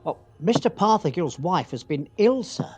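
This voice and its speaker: An actor playing a timid, downtrodden but good-hearted clerk in a radio play, meek and deferential. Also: male voice, high-pitched, animated, moderate pace, a little mellow, slightly rough, faint music behind. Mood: nervous.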